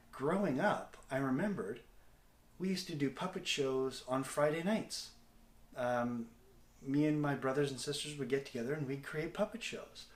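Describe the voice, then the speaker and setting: A middle-aged man with a Canadian accent talking casually to a video diary in a candid, self-deprecating, halting way. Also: a little high. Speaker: comedic actor and video diary host. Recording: echoey, roomy sound.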